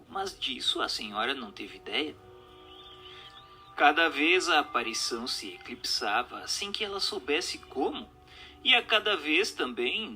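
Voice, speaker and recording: A Brazilian man delivers scripted narration in a formal, measured storytelling manner. His voice sits fairly high and is strikingly bright and crisp-edged, moving in lively contours. Faint music plays behind him. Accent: Brazilian Portuguese. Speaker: narrator reading a book aloud.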